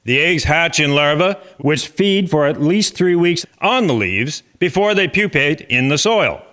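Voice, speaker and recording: A man's voice: a little high, expressive, measured pace, fairly bright tone. A man playing an earnest chairman of a farmers' agricultural society in a historical drama, speaking formally and instructively.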